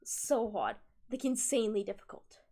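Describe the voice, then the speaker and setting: A woman's voice: a little high, very animated, normal speed, mellow. A young woman streaming live, chatting casually with viewers, laughing often and restarting her sentences. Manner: giggly and emotional, laughing through words, restarts often.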